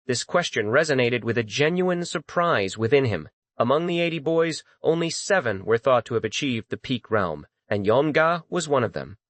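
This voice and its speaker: A man narrates a scripted fantasy story in dramatic audiobook style. His voice sits at a medium pitch. The sound is dry, with no room echo. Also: sing-song, normal speed, hardly any pauses.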